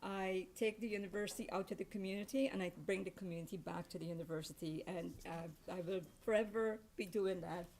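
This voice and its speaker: An older woman giving a grateful speech at an awards event, halting and full of restarts. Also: mid-range voice, steady tone, a little mellow, slight background noise. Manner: hesitant, with many fillers and restarts. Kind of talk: heartfelt speech from the podium.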